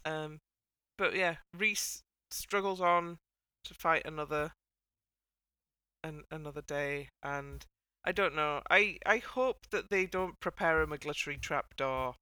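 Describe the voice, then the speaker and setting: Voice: mid-range voice, a little slow, bright, forceful tone, clean tone, dry recording. A podcast host chatting casually on a panel, with a wry, wordy, mock-formal way of putting things. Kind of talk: casual panel chat about a TV dance show.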